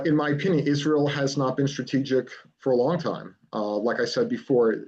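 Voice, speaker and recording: A man as a political consultant guest, explaining a plan in measured speech with frequent pauses. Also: fairly low pitch, darkish tone, slightly rough, lo-fi sound. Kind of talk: remote interview, explaining a plan.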